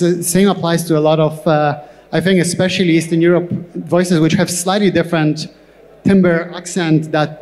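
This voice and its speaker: Higher-pitched man speaking in an echoey hall. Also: a little mellow. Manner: halting, repeats words as he explains. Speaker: tech company co-founder, panel guest. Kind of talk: on-stage interview answers. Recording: echoey hall with long reverb.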